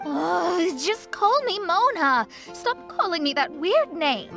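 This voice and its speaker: Young female astrologer, bright, slow-paced, expressive, easily flustered, anime game. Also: very high voice, vocal fry, soft background music, exaggerated voice. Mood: annoyed. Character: dramatic young woman astrologer mage, polite but easily flustered. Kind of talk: anime video game voice acting.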